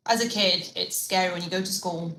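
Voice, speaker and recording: A woman, chatting as a podcast guest in an outspoken, lively way. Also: lowish voice, roomy sound, slight background noise, low-quality recording. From Poland. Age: 20s. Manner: outspoken, lively, quick to laugh. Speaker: podcast guest, fitness coach. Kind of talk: casual chat. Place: podcast studio.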